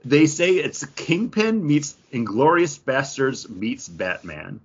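A man's voice: mid-range voice, very animated, slow pace, a little hiss or hum. Man chatting casually, slightly dull audio. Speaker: co-host of a comic book podcast. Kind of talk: casual chat about comics.

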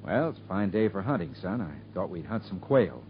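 An actor playing a weary, plain-spoken father with a troubled past, in a halting, understated 1950s radio drama style. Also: sits low, very animated. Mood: fatherly, restrained. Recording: muffled, lightly hissy 1950s radio recording.

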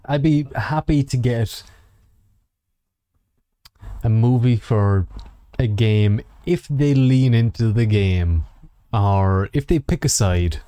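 A man's voice: medium pitch, slow pace, pauses often, mellow, clear, resonant tone, no room sound. A man chatting casually as a podcast host, sardonic and given to swearing. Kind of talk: casual chat about games and films.

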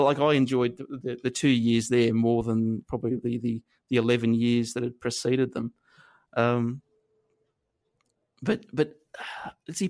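An Australian man, maybe in his thirties, telling his story as an interview guest. He talks in a halting, self-deprecating way, stopping and restarting often. His pitch sits at an ordinary middle level for a man. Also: a little mellow. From northern New South Wales, Australia. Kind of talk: reflective conversational interview.

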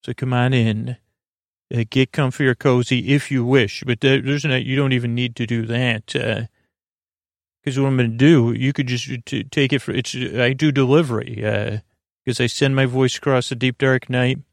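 A man with a creaky voice hosting a sleep podcast in a soothing, meandering monologue full of halting starts and tangents. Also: medium pitch, fairly even intonation, dry recording, clean studio sound. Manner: halting, digressive, many false starts and filler words, soothing.

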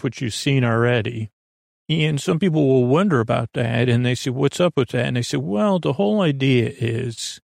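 Creaky-voiced man rambling gently. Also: mid-range voice, no echo, clean studio sound. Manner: meandering, hesitant, full of tangents and self-interruptions. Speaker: podcast host. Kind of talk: rambling, conversational bedtime podcast intro.

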